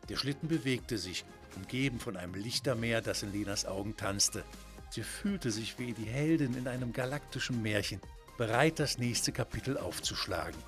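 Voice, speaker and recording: A man's voice: medium pitch, animated, moderate pace, a bit bright. Male children's storyteller over music. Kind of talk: scripted children's story narration.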